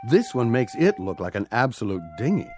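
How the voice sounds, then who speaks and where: A man's voice: medium pitch, big pitch swings, fairly quick, music in the background. An actor playing an eager, wheedling luxury salesman in a radio drama. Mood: pushy, upbeat.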